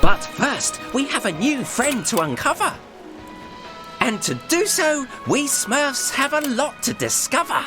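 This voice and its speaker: A woman's voice: high-pitched, very animated, measured pace. A woman playing a small, cheerful, self-pleased cartoon creature reciting rhymed narration grandly in a video game. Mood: playful. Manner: grandly reciting, sing-song. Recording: voice under game music.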